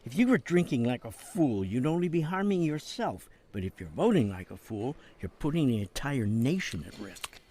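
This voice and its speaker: A male actor voices an older American man, a jailed politician in a game drama. His pitch moves in lively swings. He speaks with wry, fatherly persuasion, coaxing like a practiced schemer. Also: normal speed, few pauses, slight background noise, natural voice. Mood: sardonic. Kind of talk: dramatic game cutscene acting.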